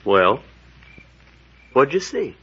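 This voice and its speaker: An actor playing an eager, cocky adventurer sidekick in a 1940s radio serial, slangy and informal. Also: male voice, mid-range voice, big pitch swings, drawn-out words, fairly bright tone, slightly rough, heavily acted. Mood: curious. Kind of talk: 1940s radio drama acting. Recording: dull vintage radio recording.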